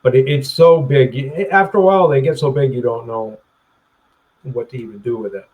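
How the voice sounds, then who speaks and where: Halting, throat-clearing man on a webcam. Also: medium pitch, lo-fi sound. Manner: rambling, halting with restarts and throat-clearing, chuckling. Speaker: plant grower, interview guest. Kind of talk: casual interview chat. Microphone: webcam video call.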